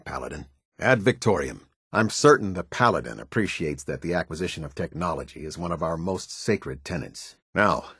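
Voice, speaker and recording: An actor playing a stern, authoritative military captain in a video game, middle-aged, speaking formally with an American accent in clipped, measured orders. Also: male voice, low voice, husky, dry recording, studio quality. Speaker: military captain giving briefings. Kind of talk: scripted video game dialogue.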